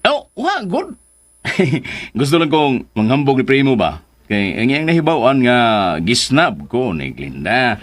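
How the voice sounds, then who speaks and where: A man's voice: mid-range voice, huge pitch swings, unhurried. An actor playing a pompous older man who brags as a comic suitor in a radio drama, with a Cebuano Filipino accent. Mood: boastful. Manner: pompous, bragging, exaggerated. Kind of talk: Philippine radio drama acting.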